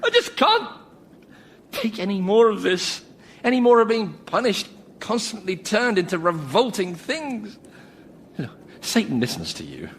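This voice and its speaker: Whining, high-pitched damned sinner. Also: male voice, wildly swooping pitch, a little slow, slight background noise, heavily acted. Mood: desperate pleading. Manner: whining, melodramatic, pleading. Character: self-pitying damned sinner, a vain whining man. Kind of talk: studio radio comedy acting.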